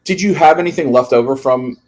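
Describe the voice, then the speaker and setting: A man's voice: medium pitch, a little fast, a little mellow. A man in his early forties hosting a casual live-stream interview, chatty, with frequent stumbles and restarts. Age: 40s.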